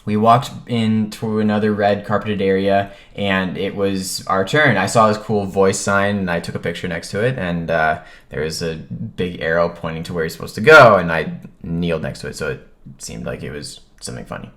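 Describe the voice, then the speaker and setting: A man's voice: low voice, moderate pace. A man talking casually as a vlogger, telling a personal story and acting out the remarks he quotes. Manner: animated retelling, acts out quoted remarks.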